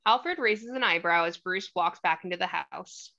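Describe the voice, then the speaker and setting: A woman's voice: on the low side, moderate pace, vocal fry, bright, forceful tone. A woman reading stage directions as the narrator of a screenplay table read. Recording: slightly dull lo-fi audio.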